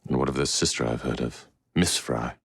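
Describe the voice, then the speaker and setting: Game villain: ruthless aristocrat, extremely deep voice, pompous and disdainful, recorded very dry. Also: male voice, fairly quick, a bit bright, slightly rough, dry recording, full character voice. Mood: cold, commanding. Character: grandiose, menacing aristocratic male villain, ruthless mastermind. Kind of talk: dramatic video game villain dialogue.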